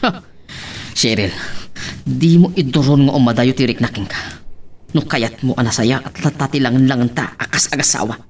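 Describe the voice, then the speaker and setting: A man's voice: mid-range voice, normal speed, long pauses, a little hiss or hum, natural voice. A man with a Filipino accent playing an exasperated young husband in an audio drama. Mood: exasperated, pleading. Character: exasperated young husband pleading with suspicious wife. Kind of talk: Ilocano radio-style drama acting.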